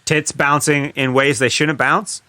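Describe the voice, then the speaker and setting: A man's voice: fairly high pitch, little pitch movement, measured pace, bright, forceful tone, dry recording, clean studio sound. A man in casual podcast banter, talking bluntly and swearing freely. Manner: blunt, profane. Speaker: podcast co-host.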